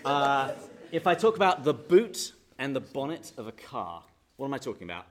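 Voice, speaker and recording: A man's voice: mid-range voice, sing-song, normal speed. Joking English man quizzing a crowd. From England. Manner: jokey and chatty, quizzes the audience, then leads prayer solemnly. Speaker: speaker at a parish talk. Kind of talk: humorous church talk to a live audience. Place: hall with an audience.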